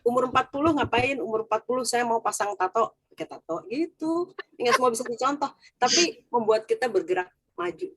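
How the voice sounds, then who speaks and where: A woman in her forties sharing personal stories in a casual group chat over a video call, lively, chatty and quick to laugh. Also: fairly high pitch, slightly rough, no room sound. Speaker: guest sharing her story.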